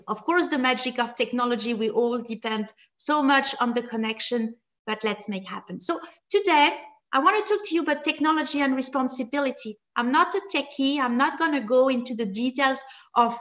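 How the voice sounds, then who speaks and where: A woman's voice: sits high, a bit bright. French-accented woman lecturing on a video call. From France and Switzerland. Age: 40s. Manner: friendly, self-deprecating, with fillers. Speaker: guest lecturer. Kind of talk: webinar presentation.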